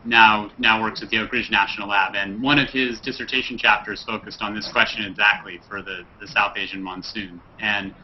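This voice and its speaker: A man, a climate scientist, explaining his research carefully with frequent pauses and fillers over a video call. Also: lowish voice, steady tone, slight background noise. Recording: dull lo-fi video call audio.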